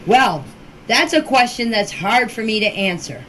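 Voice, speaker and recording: An older woman giving an informal personal account in a deliberate, halting way, with short emphatic phrases, recorded as an amateur voice message. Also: a little high, animated, fairly bright tone, slight background noise, poor audio. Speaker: relative recounting events. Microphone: voice clip recorded for group chat.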